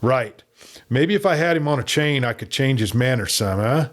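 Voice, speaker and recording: A man voicing a squeaky, excitable western outlaw. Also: normal speed, close to an everyday voice. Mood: excited, cruel. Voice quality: squeaky tenor. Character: cruel, excitable cowboy outlaw with tight lips.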